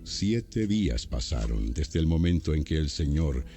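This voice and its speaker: A man's voice: a bass voice, very animated, a little slow. A man reading scripted narration for a dramatized audio Bible. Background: music.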